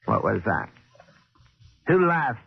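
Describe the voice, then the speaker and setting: Creaky, anxious old man. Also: medium pitch, sing-song, slow, exaggerated voice. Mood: fearful, defensive. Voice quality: creaky, husky. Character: nervous, cryptic old man, working-class club employee. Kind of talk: 1940s radio drama acting. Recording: muffled old 1940s radio recording.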